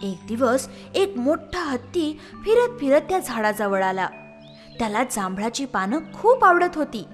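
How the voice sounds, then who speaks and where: A woman narrates a children's story in a high voice. Her pitch moves in an animated way, and soft music plays behind her. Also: measured pace.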